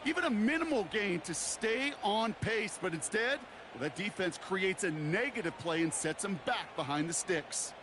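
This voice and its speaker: American football color analyst, fairly high voice, folksy, emphatic, conversational, very noisy backdrop. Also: male voice, animated, normal speed. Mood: analytical, emphatic. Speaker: color commentator. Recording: loud stadium noise behind voice.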